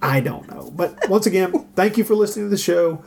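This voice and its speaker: A man's voice: high voice, expressive, a little fast, gravelly, darkish tone. A man hosting a podcast in casual chat, with a wry, self-deprecating, joking manner. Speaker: podcast host.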